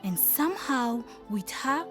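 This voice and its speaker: A woman's voice: on the high side, lively intonation, a little slow. A timid young village wife. She is earnest and dreamy, as in a radio drama, with some music in the recording. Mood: wistful storytelling. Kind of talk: radio drama narration and acted dialogue.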